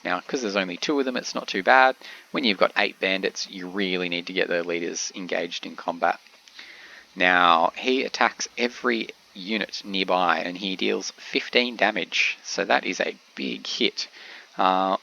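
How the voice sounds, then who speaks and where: A man with a cold, sounding a little congested, giving relaxed game commentary with frequent pauses. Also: lowish voice, gravelly, muffled recording. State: has a cold, slightly congested. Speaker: gameplay commentator.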